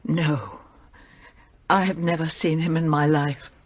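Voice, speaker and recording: An actress playing a subdued, grieving widow in a radio play, speaking in a quiet, hesitant way. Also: female voice, sits low, fairly even intonation, takes it slowly, slightly rough, muffled recording, heavily acted.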